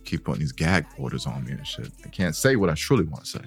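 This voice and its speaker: A man's voice: very deep voice, very animated, quick pace, breathy edge, faint music behind. A man co-hosting a podcast, bantering casually and teasing with laid-back slang. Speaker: podcast co-host.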